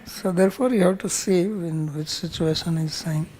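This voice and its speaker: Mellow-voiced man teaching scripture. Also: a little high, moderate pace, a little hiss or hum. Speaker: spiritual teacher. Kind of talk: scripture class with verse-by-verse explanation.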